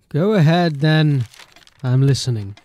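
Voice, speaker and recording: An exasperated fantasy watch captain for an audio drama. His voice is high for a man and deliberately paced, with sardonic, clipped authority. The sound is dry, with no room around it. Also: warm tone, no echo. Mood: exasperated scolding. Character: stern, exasperated male police captain scolding a subordinate.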